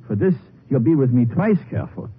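An actor playing a grieving, nervous immigrant widower with a German accent in a 1950s western radio drama. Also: male voice, medium pitch, animated, fairly quick, a little hiss or hum, lightly acted. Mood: bitter, mournful. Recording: tinny old 1950s radio recording.

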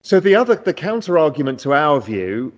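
A man in a finance interview, confidently explaining macroeconomics with animated emphasis. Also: a little high, a little fast. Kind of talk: conversational market discussion.

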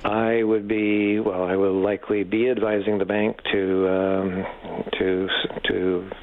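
Low, gravelly man, halting delivery. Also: flat delivery, narrow, tinny audio, slight background noise. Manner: hesitant, halting, frequent stammered repeats. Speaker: economics professor, expert guest. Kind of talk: unscripted radio interview answers.

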